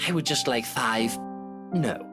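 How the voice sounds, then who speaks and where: Woman putting on an exasperated posh man, swooping pitch. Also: animated, slow pace, over music, heavily acted. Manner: exasperated, sarcastically sweet endearments. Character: irritated posh young man minding a child.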